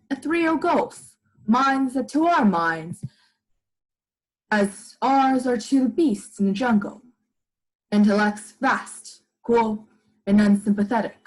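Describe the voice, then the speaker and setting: A young woman playing a solemn narrator in a radio play, with grave, deliberate, formal delivery. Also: a little high, rough recording. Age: young adult. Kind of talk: scripted dramatic narration. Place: living room at home.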